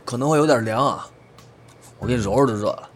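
A man's voice: mid-range voice, fast, faint music behind, natural voice. A man playing a friendly, considerate young member of a pop group in an audio drama. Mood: gentle, caring.

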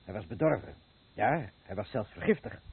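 An actor playing a poisoned, bereaved father accusing the seller, husky and bitter, in a Dutch radio play. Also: lowish voice, lively intonation, normal speed, full character voice. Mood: sickly, bitter. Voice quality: husky, rough. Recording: muffled, phone-like old radio recording.

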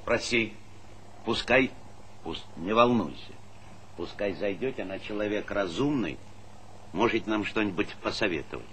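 An actor playing a middle-aged village boss, paternal yet impatient, in a theatrical comedy style. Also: male voice, fairly low pitch, a little slow, pauses often, a bit bright. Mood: placating. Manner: folksy, commanding, repeats himself impatiently. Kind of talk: theatrical comedy stage acting. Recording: noisy 1970s stage play recording.

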